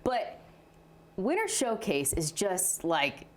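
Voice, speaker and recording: A woman's voice: on the low side, very animated, slow pace. An American woman giving conversational sports commentary as a show reporter.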